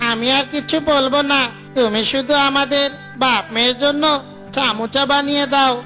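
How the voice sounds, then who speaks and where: A woman's voice: high-pitched, fairly even intonation, moderate pace, sharp tone, soft background music. A woman voicing a jokey, boastful fox father in a cartoon, with a playful, exaggerated delivery. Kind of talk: Bengali cartoon voice acting.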